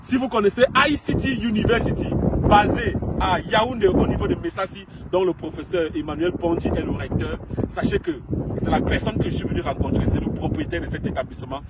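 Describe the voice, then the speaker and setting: A man from Cameroon hosting an outdoor interview, chatty and excited, laughing often. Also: high voice. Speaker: host. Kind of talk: casual on-location interview. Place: outdoors on a rural property. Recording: loud outdoor noise with passing vehicles.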